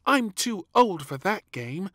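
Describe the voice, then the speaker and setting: A man voicing a fussy, gleeful little girl princess in a children's storybook, with whiny, drawn-out, exaggerated delivery. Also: sits high, very animated, fairly bright tone, no room sound, studio quality, voice pushed up, exaggerated voice. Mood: sulky. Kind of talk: read-aloud children's storybook.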